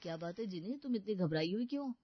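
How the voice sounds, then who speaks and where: A woman voicing a young, quick-witted daughter-in-law in a family story, complaining and glib in manner. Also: mid-range voice, expressive, no room sound, highs cut off, voice pushed down, lightly acted. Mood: flustered.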